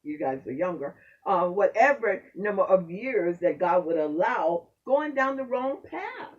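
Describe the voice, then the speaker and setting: A man's voice: high voice, sing-song, measured pace, distant mic, lo-fi sound. An older man hosting a casual faith conversation, affirming and preacherly.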